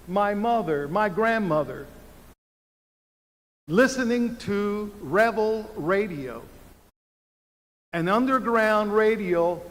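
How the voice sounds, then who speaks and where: Cuban-born older man, slow arena oratory. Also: high voice. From Cuba. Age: 70s. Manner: slow, deliberate oratory with emphatic pauses. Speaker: commencement speaker. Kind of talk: commencement speech. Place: large university arena. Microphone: podium microphone. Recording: reverberant arena sound.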